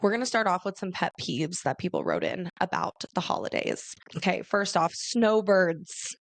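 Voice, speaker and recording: A raspy young woman from Arizona hosting a casual solo podcast, chatty and laughing often as she talks to her listeners. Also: on the low side, animated, normal speed, no echo. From Arizona, USA. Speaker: podcast host.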